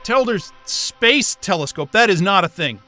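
Excitable, high-voiced man. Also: measured pace, faint music behind, heavily acted. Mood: amazed. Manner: excitable, sarcastic, exclamatory. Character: astrophysicist, excitable, sarcastic, foul-mouthed man. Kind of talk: naturalistic audio drama acting.

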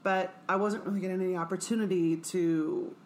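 A woman's voice: on the low side, steady tone, fairly quick, slight background noise. A woman in her forties from Kansas, a singer talking loosely and profanely in an interview, with many fillers. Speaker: guest performer and singer. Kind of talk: casual interview chat.

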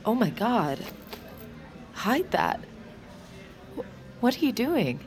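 An actress playing a flustered, warm-hearted working woman in naturalistic audio drama, speaking casually and haltingly. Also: on the high side, very animated. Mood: flustered, astonished.

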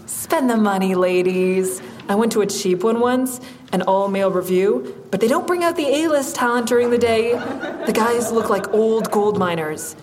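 A woman's voice: a little high, moderate pace, darkish tone, slight background noise, close to an everyday voice. An actress playing a wry stand-up comedian who narrates her own story conversationally in an audio drama. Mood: performing, upbeat.

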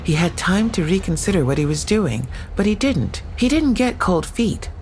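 An actress playing a plain-spoken, persistent police investigator in an audio drama, matter-of-fact and inquisitive. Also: female voice, fairly low pitch, big pitch swings, moderate pace, a little mellow, a little hiss or hum, rough recording. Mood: insistent.

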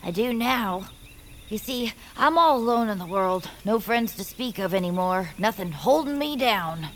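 Woman as Hatch, a lively, wry young creature. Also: mid-range voice, unhurried, a little hiss or hum, natural voice. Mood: mopey, dejected. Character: young peepkin creature Hatch, mopey, dry, skeptical. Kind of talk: family audio fiction character acting.

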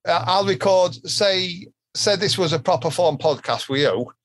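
A man's voice: sits high, lively intonation, moderate pace. Chatty Yorkshire man, podcast host. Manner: chatty, laughs easily, swears casually. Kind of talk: casual podcast chat.

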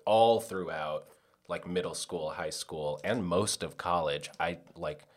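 A man's voice: low-pitched, slow. A man hosting a podcast in casual chat, informal and agreeable, swearing offhandedly. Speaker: podcast host.

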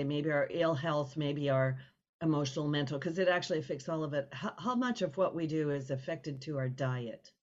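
Dull lo-fi audio, effusive woman host. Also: deep voice. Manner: effusive, rambling. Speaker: interview host. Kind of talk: casual conversational interview.

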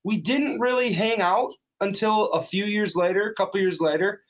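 A man's voice: high voice, normal speed. A young man from North Dakota, a band member, telling his story in an outgoing, upbeat way. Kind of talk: casual interview answers.

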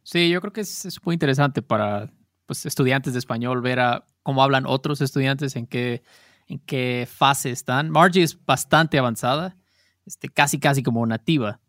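A man's voice: mid-range voice, moderate pace, fairly bright tone, dry recording, studio quality. A man co-hosting a podcast, friendly and encouraging, often repeating his words. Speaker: podcast co-host. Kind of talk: casual podcast chat.

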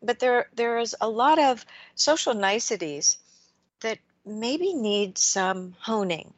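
A woman's voice: on the high side, lively intonation, no echo, highs cut off. Dry-sounding woman hosting a radio interview. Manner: measured, reflective, asks long framing questions. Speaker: radio show host.

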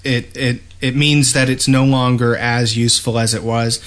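Male podcast host, mid-pitched, explanatory and a bit halting, in relaxed gamer discussion. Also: clear, resonant tone. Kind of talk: casual gaming discussion.

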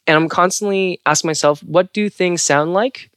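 A man's voice: high-pitched, normal speed, a bit bright, clean tone, no echo, clean studio sound. A man who works as a Foley artist, talking thoughtfully in an interview and searching for his words. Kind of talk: interview talk.

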